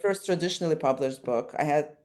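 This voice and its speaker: Low, mellow-voiced Russian-native woman. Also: fairly quick. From Ukraine. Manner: thoughtful, frequent restarts. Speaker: author-illustrator guest. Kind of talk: reflective interview answers.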